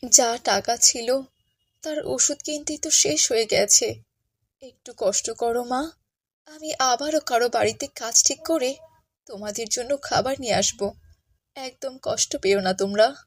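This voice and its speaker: A woman narrating a cartoon tale and voicing a gentle, caring, pious village mother. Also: a little high, a little slow, husky. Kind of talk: cartoon storytelling with character dialogue.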